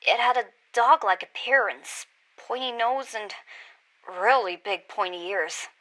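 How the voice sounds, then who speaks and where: A woman reads as a female witness recalling something frightening she once saw. Her voice sits fairly high with a bright, forceful edge. She tells it quietly and uneasily in the first person. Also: unhurried, rough recording. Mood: uneasy.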